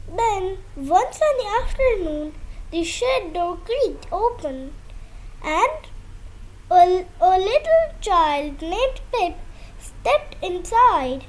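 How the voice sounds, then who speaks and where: A woman's voice: extremely high pitch, very animated, extremely slow, slight background noise, low-quality recording. A young girl reading a children's story aloud, carefully.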